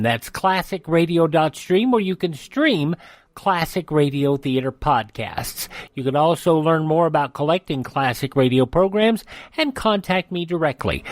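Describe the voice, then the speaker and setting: American guy hosting radio, chatty, pitch moves around a lot, dry mic, faint music. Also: no echo. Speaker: radio show host. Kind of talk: conversational radio presenting. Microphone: close studio microphone.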